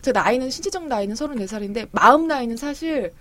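A woman's voice: on the high side, fast. A woman in her thirties, a guest author, talking in a casual, chatty way in an interview. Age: 30s. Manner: lively, chatty, laughs easily.

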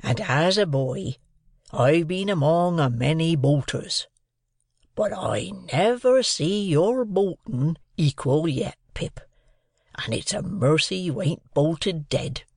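A man's voice: fairly high pitch, expressive, slow, slightly rough, no room sound, clean studio sound, pitched above the performer's own voice, full character voice. A man voicing a kindly, simple country man for an audiobook, anxious and solemn, with rustic, uneducated phrasing. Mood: solemn, confidential.

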